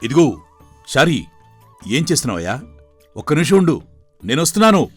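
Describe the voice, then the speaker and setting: A man playing a caring, concerned friend in an animated folktale, speaking with urgency. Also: on the high side, a little fast, husky, soft background music. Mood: alarmed.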